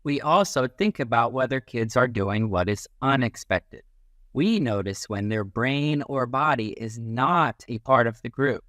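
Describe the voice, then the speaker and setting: A man reading a children's book aloud as a teacher, in an expressive, instructive way that leans on key phrases. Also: mid-range voice, measured pace, no echo, clean studio sound.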